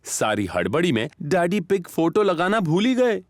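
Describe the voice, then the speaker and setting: A man narrating a children's cartoon in a measured, expressive storytelling way. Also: high-pitched, no echo, studio quality.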